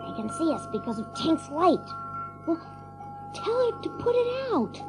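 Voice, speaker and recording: Eager, bold child adventurer for audio drama, very high voice, unhurried pace, theatrical. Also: very animated, over music, heavily acted. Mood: earnest.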